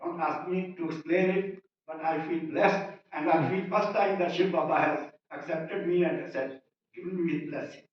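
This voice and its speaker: A man's voice: fairly high pitch, steady tone, moderate pace. A man from Singapore giving an earnest, informal testimonial to a group at a retreat, speaking in short, plain sentences. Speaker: retreat participant sharing a testimonial. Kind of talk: informal public sharing. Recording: echoey hall, distant mic, dull sound.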